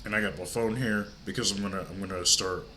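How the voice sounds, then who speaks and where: A man's voice: deep voice, fairly even intonation, fast talker, sharp tone, a little hiss or hum. An older man with a stuffy, congested nose, hosting his movie channel from home in a rambling, chatty way. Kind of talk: casual vlog chat.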